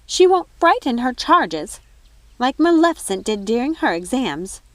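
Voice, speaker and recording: A woman's voice: on the high side, sing-song, measured pace, no echo, voice pushed up. A woman voicing a haughty, indignant fairy godmother in a storybook, with an emphatic, scolding manner.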